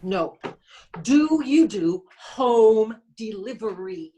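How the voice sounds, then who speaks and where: Woman acting a cranky old wife, swooping pitch. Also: expressive, drawn-out words, mellow. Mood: impatient. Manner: grumbling, muttering to herself. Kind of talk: stage play reading over video call.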